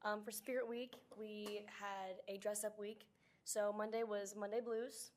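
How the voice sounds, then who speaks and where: Hoarse teenage girl with a raspy voice. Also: little pitch movement, a little slow, darkish tone. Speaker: student board representative. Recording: faint rumbling noise.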